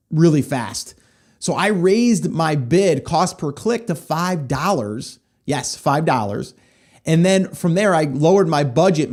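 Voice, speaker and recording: A middle-aged man talks through his podcast in a loose, friendly ramble, tossing in little "okay?" checks as he goes. His voice sits somewhat high for a man. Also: darkish tone. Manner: chatty, lots of "okay?" and "right?" check-ins. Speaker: podcast host. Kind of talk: casual solo podcast advice.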